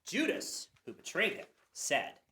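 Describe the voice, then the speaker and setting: A woman's voice: lowish voice, huge pitch swings, measured pace, pushed and bright, slight background noise. A woman narrating scripture for a church passion play reading. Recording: roomy echo.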